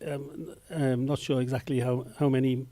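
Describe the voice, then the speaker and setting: A man, a filmmaker, answering questions at a festival Q&A with many pauses, fillers and restarts. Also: medium pitch, flat delivery, dark tone, dry recording. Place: cinema Q&A stage.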